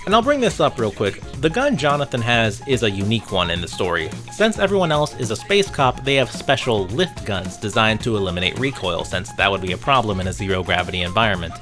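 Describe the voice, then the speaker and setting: A man's voice: fairly low pitch, very animated, fairly quick, hardly any pauses, over music. A man narrating a casual scripted video game retrospective, with wry, sarcastic asides.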